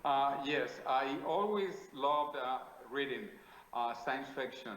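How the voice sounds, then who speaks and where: A man with a Spanish accent, speaking English as a second language, answers interview questions in a measured, declarative way with plenty of small pauses. His voice sits at a middle pitch and carries a noticeable roomy echo, as if recorded over a call in a bare room. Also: a bit bright. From South America. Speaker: guest, futurist.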